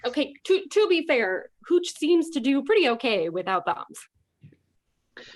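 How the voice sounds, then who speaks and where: A woman's voice: high-pitched, big pitch swings, slow. A woman comedian narrating with wry, mock-reasonable commentary.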